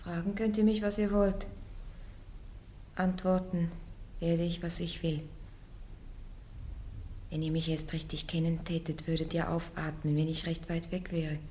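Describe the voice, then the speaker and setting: A woman's voice: on the low side, little pitch movement, unhurried, pauses often, darkish tone, a little hiss or hum, close to an everyday voice. An actress playing a blunt, fearless young peasant woman on trial in a radio play. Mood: cheeky, stubborn. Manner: blunt, defiant, plain-spoken.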